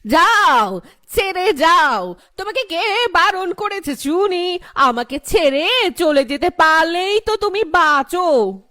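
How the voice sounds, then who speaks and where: A woman's voice: very high-pitched, very animated, takes it slowly, sharp tone, no echo, clean studio sound, heavily acted. A woman voicing a spiteful, scornful middle-aged mother-in-law in a cartoon drama. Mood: bitter, spiteful. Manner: scornful, sarcastic, complaining. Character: spiteful older housewife, bitter mother-in-law. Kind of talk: animated horror story drama dialogue.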